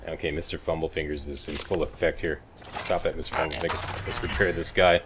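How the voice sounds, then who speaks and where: A man's voice: extremely low pitch, level tone, a little fast, noisy background. A man giving casual, folksy video game walkthrough commentary with wisecracking asides.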